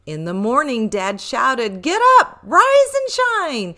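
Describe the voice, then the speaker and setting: Storybook reader: woman, bright highish voice, expressive and rhythmic, for a rhyming children's tale. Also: slow. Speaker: picture book reader.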